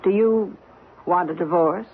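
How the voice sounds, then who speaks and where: An actress playing a wounded, sarcastic estranged wife in an old-time radio drama, with an arch, needling delivery. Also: female voice, fairly low pitch, unhurried, a little hiss or hum, natural voice. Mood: sardonic. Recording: muffled old radio broadcast.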